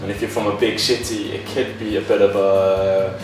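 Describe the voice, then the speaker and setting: A man with a Welsh accent, an English teacher speaking in a relaxed, hesitant way in a recruitment interview. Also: lowish voice, normal speed, distant mic. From Cardiff, Wales. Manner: relaxed, hesitant with many uhs. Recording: echoey room from off-mic, music bed.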